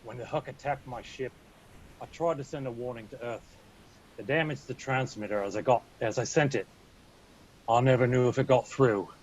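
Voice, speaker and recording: Man playing weary wounded captain, mid-pitched, grave, subdued, table-read drama, faint noise. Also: moderate pace, dull audio, natural voice. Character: weary, injured ship captain, grave and apologetic.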